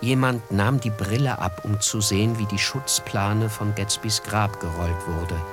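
Male actor as reflective literary narrator, melancholy, radio drama, low-pitched, soft music behind. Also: fairly even intonation, unhurried, close to an everyday voice.

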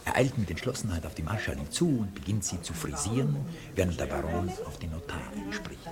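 A man calmly reading the stage directions as narrator of a radio drama. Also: deep voice, a crowd in the background. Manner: calm, measured, sometimes hushed.

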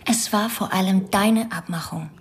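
A woman playing a guarded but firm colleague in a radio crime drama, with restrained, measured delivery. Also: mid-range voice, natural voice. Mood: cool, firm. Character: guarded, firm female colleague confronting former boss. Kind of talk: naturalistic German radio drama acting.

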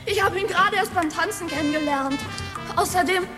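A woman's voice: very high voice, a little fast, music in the background. A woman playing a brash, argumentative young woman among gangsters in a radio drama. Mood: indignant. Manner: indignant, argumentative.

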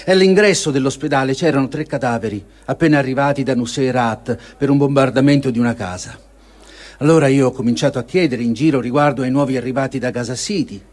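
A man giving a solemn, measured dramatic reading of a first-person diary, with feeling and deliberate pauses. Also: mid-range voice, darkish tone. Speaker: reader of a testimony.

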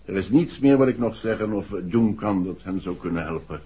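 A grave gentleman in an old radio drama, his voice low and husky. He speaks in a formal, measured and resolute way, courteous throughout. The sound is dull and muffled. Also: expressive. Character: grave, resolute bereaved father, wealthy gentleman client. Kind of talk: 1960s Dutch radio play acting.

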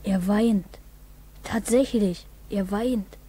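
A woman's voice: mid-range voice, very slow, mellow, slightly rough. A woman playing a suspicious, blunt village boy in a 1960s German radio drama. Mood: softening, curious. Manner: blunt, challenging. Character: suspicious, cheeky village boy, tough-talking child.

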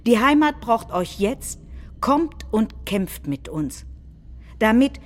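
A woman's voice: on the high side, very animated, slow pace. A woman playing a combative nationalist head of government, delivering a political speech in a staged reading, solemn and declamatory. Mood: rousing, combative.

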